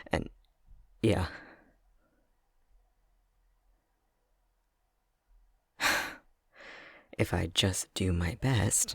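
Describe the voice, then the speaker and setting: A young man playing a clumsy, self-conscious fox-shifter boyfriend in an intimate ASMR roleplay, speaking hesitantly with a soft, breathy, gravelly voice. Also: sits low, no echo, clean studio sound, exaggerated voice. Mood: flustered, embarrassed. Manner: hesitant, stammering, self-deprecating.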